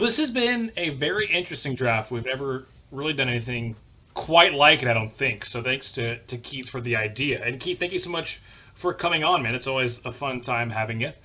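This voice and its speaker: A man's voice: medium pitch, expressive, moderate pace, bright, forceful tone. A man hosting a podcast in casual conversation, on a slightly muffled recording. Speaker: podcast host. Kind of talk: casual chat.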